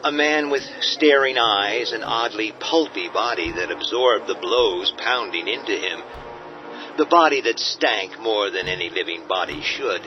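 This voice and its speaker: A man's voice: fairly low pitch, a little slow. A man narrating a horror story in a grave, ominous storytelling manner. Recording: dull audio with music bed.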